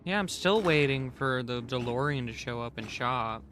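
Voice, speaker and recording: A man's voice: mid-range voice, animated, moderate pace, over music. A man livestreaming a game, chatting casually in a rambling, slangy way. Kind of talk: casual gaming stream chat. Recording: clearly noisy background with clicks.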